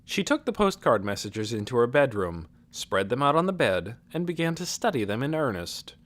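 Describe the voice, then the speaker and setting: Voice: mid-range voice, big pitch swings, normal speed. An audiobook narrator reading a novel as scripted literary narration.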